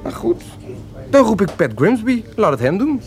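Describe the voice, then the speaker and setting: An actor in a radio play playing a cocky, lovesick young beatnik poet who smokes marijuana, with a theatrical, mocking drawl. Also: male voice, high voice, big pitch swings, normal speed, a little mellow, faint music behind. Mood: provocative. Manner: theatrical, mocking, languid drawl with sudden outbursts.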